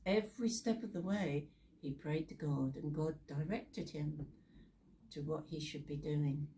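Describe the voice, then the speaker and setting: A woman's voice: deep voice, dark tone, a little hiss or hum. A British woman telling Bible stories in a chatty, informal way, with theatrical asides and rhetorical questions. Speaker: storyteller.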